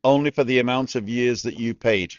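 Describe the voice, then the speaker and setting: A man's voice: mid-range voice, brisk, no room sound. Man in his sixties, chatty host, dry close sound, slightly dull recording. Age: 60s. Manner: chatty, asks lots of questions. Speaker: vlogger host. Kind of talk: casual chat. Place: bar.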